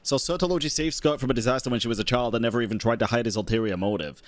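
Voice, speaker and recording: A man's voice: mid-range voice, racing, dry recording. A man giving casual live reaction commentary as a game streamer, talking in slangy, profane bro-speak. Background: game music.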